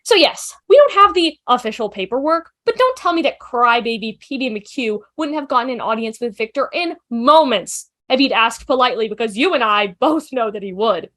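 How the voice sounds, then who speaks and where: A woman's voice: a little high, expressive, normal speed, hardly any pauses, a bit bright. A woman playing an outspoken, nervy young student who rambles emphatically and theatrically in a drama table read. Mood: impassioned.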